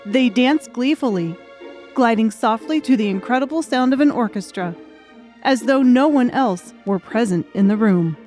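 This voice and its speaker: A woman's voice: on the high side, expressive, normal speed, darkish tone. Woman narrating fiction over music. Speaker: audiobook narrator. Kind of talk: scripted dramatic fiction narration.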